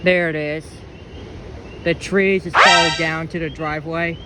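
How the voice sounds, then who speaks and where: Amateur male vlogger, fairly high voice, halting, exclamatory casual commentary over clear background noise. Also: fairly even intonation. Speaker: amateur vlogger filming a storm. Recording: noisy home video, ambient noise.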